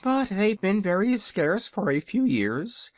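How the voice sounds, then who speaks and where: Reader putting on a squeaky proud baby dragon, drawly and snooty, super bouncy, dry close mic. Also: big pitch swings, unhurried, no room sound. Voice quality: high, drawling and haughty. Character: proud young dragon, polite yet hungry. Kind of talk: audiobook character voice.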